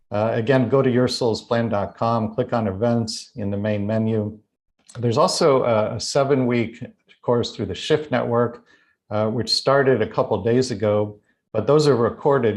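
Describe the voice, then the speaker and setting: A man's voice: medium pitch, a little mellow. An American man speaking as a guest author, answering audience questions in an online talk. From United States.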